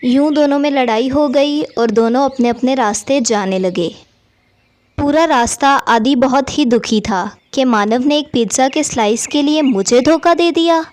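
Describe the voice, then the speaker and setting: A woman's voice: sits high, measured pace, darkish tone. A woman reading scripted narration for a children's cartoon story.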